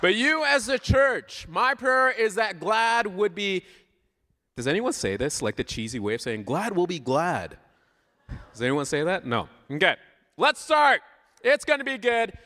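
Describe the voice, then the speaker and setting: Canadian guy preaching, kinda high lively voice that jumps around, playful and chatty, big echoey church. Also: a little slow. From Southern Ontario, Canada. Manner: playful, conversational, asks the audience questions. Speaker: guest preacher. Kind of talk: informal sermon with audience interaction. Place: large church hall, speaking to a congregation. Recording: live hall with long reverb.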